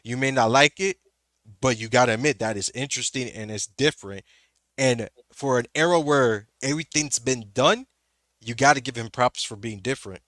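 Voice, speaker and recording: A man's voice: medium pitch, moderate pace, a bit bright, dry recording, studio quality. A man in a casual wrestling chat, earnest and emphatic as he makes his case. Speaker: podcast co-host.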